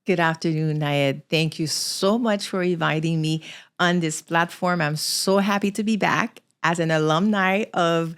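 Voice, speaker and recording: A woman's voice: fairly low pitch, a little slow, smooth. A middle-aged woman from Haiti, telling her own story conversationally as a podcast guest. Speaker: podcast guest, small business founder.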